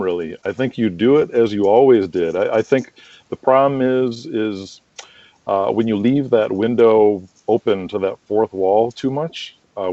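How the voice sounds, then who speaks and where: A man's voice: lowish voice, mellow. A man giving opinions in a conversational interview as a guest promoter, speaking emphatically and explaining with examples. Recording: dull lo-fi, roomy echoing sound.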